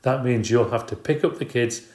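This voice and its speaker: A man's voice: medium pitch, normal speed, darkish tone, close to an everyday voice. Man doing an exhausted mum, complaining, sarcastic, raised voice, roomy sound. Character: tired, angry working mother.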